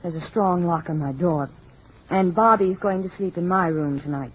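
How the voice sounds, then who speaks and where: A woman's voice: fairly low pitch, moderate pace, slightly rough. An actress playing a sensible, caring mother with a polite, firm manner in an old-time radio drama. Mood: soothing, firm. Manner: polite, reassuring yet firm. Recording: muffled, noisy vintage radio broadcast.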